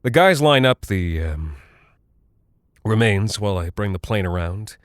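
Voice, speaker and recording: An actor playing a weary, rattled Cold War pilot in a horror audio drama, halting and apologetic as he rambles confidingly to his wife. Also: fairly low pitch, animated, no echo, clean studio sound. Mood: weary, matter-of-fact.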